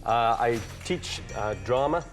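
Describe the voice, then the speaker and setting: A man's voice: mid-range voice, slow pace, faint music behind. A Welsh man from Wales, a game show contestant who answers the host cheerfully and modestly. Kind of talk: answering a host's questions.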